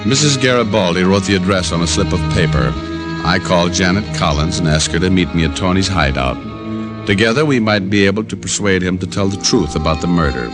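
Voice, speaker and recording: An actor playing a cool, persistent private detective with an American accent, dry and direct, in vintage radio noir style. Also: male voice, low voice, brisk, close to an everyday voice. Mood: wry narration. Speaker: lead actor and first-person narrator. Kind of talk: 1940s-50s radio noir acting and narration. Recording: old radio broadcast with faint music.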